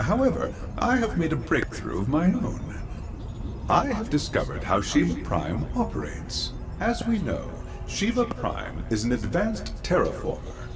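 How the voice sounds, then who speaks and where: An actor playing an elderly, eccentric, fatherly scientist leader in a video game, speaking formally and theatrically with precise diction. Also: a little high. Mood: musing, fascinated. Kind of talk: video game cutscene acting. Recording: music under the voice.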